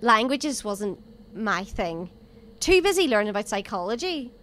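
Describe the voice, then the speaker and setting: A woman's voice: a little high, very animated, a little slow, a bit bright. A woman playing a blunt, sceptical time traveller's companion in an audio drama, informal and questioning. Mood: puzzled.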